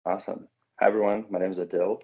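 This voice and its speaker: A man's voice: deep voice, level tone, quick pace, vocal fry. A young man from Kazakhstan in his twenties, a recent graduate speaking casually on a video call with many fillers, on muffled call audio. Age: 20s. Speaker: guest speaker. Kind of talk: casual panel talk.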